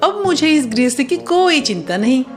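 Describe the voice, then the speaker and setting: A woman's voice: high-pitched, sing-song, moderate pace. Woman voicing an older doting mother-in-law over music. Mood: repentant. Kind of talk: cartoon story character voice.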